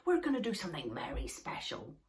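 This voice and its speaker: A woman voicing a calm, adventurous young male wanderer in a storybook reading, in an easy, encouraging way. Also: mid-range voice, brisk, a little hiss or hum. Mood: easygoing.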